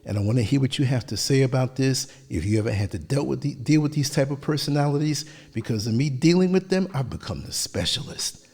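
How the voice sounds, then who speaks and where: A man hosting a podcast, giving a motivational monologue in short, emphatic lines, his voice hoarse from a long day of talking. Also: mid-range voice, animated, darkish tone. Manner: measured, emphatic delivery in short declarative lines. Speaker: podcast host.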